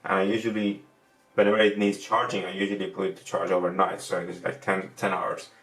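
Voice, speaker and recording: A man with a Persian accent, a non-native English speaker, giving a casual gadget review in a halting way that often repeats words and restarts sentences. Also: low voice, little pitch movement, fairly bright tone. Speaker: tech reviewer. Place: room at a desk, indoors. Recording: roomy, distant mic with faint music.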